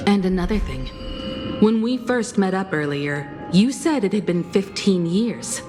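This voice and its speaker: An actress playing a composed, resolute woman in a video game, measured, stern and accusing. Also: mid-range voice, expressive, music in the background, close to an everyday voice. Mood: cool suspicion. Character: composed, resolute woman confronting her father's impostor.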